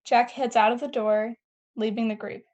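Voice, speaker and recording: A woman's voice: fairly high pitch, fairly even intonation, moderate pace, a little mellow, lo-fi sound. A woman reading scene headings and stage directions as the narrator of a scripted table read.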